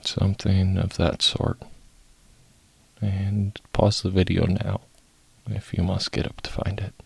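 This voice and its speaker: A man leading a guided meditation, soft-spoken and sometimes breathy, in short phrases with long pauses. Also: sits low, little pitch movement, no room sound.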